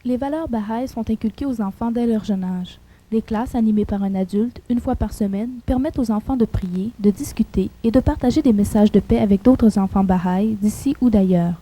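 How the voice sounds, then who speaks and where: A woman giving calm, measured documentary narration. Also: medium pitch, low-quality recording.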